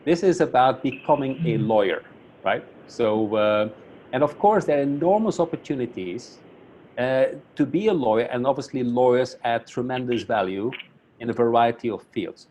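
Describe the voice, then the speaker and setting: Man, friendly halting introduction. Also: medium pitch, expressive, a little mellow, a little hiss or hum, rough recording. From Belgium. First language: Flemish. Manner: friendly, self-deprecating, halting with repeats. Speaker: academic council chairman introducing a speaker. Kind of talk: webinar introduction. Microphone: video call.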